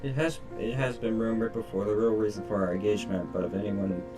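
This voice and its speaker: A man's voice: fairly low pitch, steady tone, quick pace, recorded from a distance, over music. A man reading a visual novel's narration aloud on a stream, in a dramatic storytelling style.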